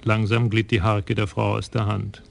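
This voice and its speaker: A male narrator tells a radio crime story in a measured way. His voice is low and gravelly, with little melody, and a faint noise floor runs under it. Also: level tone.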